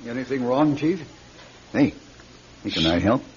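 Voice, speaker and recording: A man's voice: medium pitch, expressive, a little slow, slight background noise, natural voice. An actor playing a practical, casual male campaign manager in a radio drama, speaking in a folksy way. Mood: concerned. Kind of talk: naturalistic radio drama acting. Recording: dull old radio recording.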